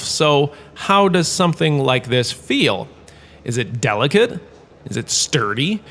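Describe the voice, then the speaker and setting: An actor playing a vain, washed-up star turned professor, lecturing in a pompous, theatrical, self-satisfied way for a comedic audio drama. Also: male voice, fairly high pitch, a bit bright. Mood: lecturing, smug.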